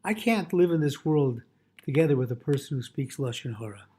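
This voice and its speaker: A man speaking as a rabbi in a religious lecture, explaining a text phrase by phrase in a halting way with Hebrew quotations mixed in. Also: medium pitch, animated, warm tone, breathy edge. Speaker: rabbi teaching Torah.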